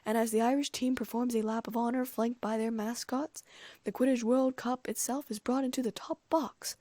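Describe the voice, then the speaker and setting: A woman voicing an excitable, booming male sports announcer calling a match in an audiobook story. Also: normal speed, no room sound. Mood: ceremonial excitement.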